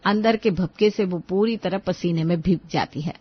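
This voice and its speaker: A woman's voice: fairly low pitch, normal speed, breathy edge, muffled recording. A woman narrator telling a scripted cartoon family story.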